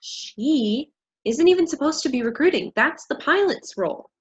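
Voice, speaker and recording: A woman's voice: high-pitched, expressive, moderate pace, dull audio. A woman acting an angry, bossy stickler for rules in a staged play reading, scolding and insistent. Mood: indignant.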